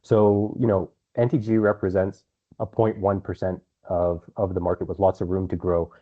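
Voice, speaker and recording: A man speaking as a company executive, answering investor questions on an earnings call in measured phrases with some repeated words. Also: sits low, flat delivery. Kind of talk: earnings call answers, semi-prepared business talk. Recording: dull, band-limited conference call audio.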